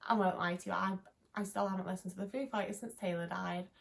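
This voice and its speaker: A woman's voice: mid-range voice, fairly even intonation, fast talker, vocal fry. Young woman, twenties, Liverpool accent; loose, candid vlog chatter with plenty of filler words. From England. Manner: rambling, frequent "like" fillers, sweary and candid. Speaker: vlogger. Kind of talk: casual chat to camera.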